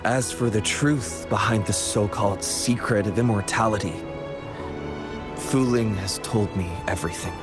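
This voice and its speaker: An actor playing an earnest, quietly devoted adventurer in a video game, sincere and subdued. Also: male voice, fairly low pitch, normal speed, loud music behind the voice, natural voice. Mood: reflective, resigned.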